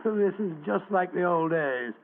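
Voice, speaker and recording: An actor playing a genial, loyal, easily flustered gentleman sidekick with a husky voice and chummy, hesitant manner, in old radio drama style. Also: high-pitched, expressive, moderate pace. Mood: wistful, fond. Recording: tinny, phone-like old radio sound.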